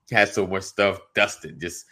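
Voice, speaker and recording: A man's voice: sits low, bright, forceful tone. A middle-aged man co-hosting a talk show, chatting casually, laughing often and agreeing with "right". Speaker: podcast co-host. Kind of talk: casual chat.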